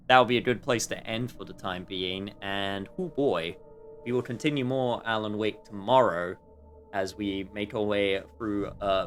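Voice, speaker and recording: A man's voice: on the low side, sharp tone. A man giving casual live gameplay commentary as a streamer, rambling and searching for his words. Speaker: game streamer.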